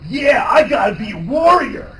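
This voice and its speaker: A man playing a brash, excitable teenage boy adventurer, loud and goofy, in a live manga reading. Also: extremely high pitch, normal speed, full character voice. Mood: excited. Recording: distant live convention recording, some noise.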